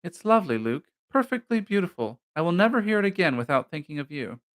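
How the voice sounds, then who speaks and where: A man voicing a playful, courteous young woman with an arch, quick wit in an audiobook novel. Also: a little high, big pitch swings, fairly bright tone, pitched above the performer's own voice. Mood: teasing.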